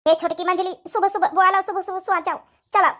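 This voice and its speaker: A woman's voice: very high voice, fairly even intonation, brisk, a bit bright. A woman voicing dramatic, exclamatory village in-laws in a cartoon comedy, with an Awadhi accent. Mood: anxious, urging. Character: scheming young daughters-in-law and fretting mother-in-law, village family.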